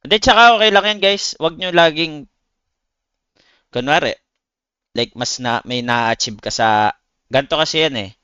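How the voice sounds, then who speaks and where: A man's voice: on the high side, moderate pace, long pauses, pushed and bright, clean tone, no echo, lo-fi sound. A man with a Filipino accent chatting casually to viewers on a livestream, talking in blunt, slangy, swearing style. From Philippines. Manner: slangy, blunt, swearing, addresses listeners as buddies. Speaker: game streamer; competitive esports player.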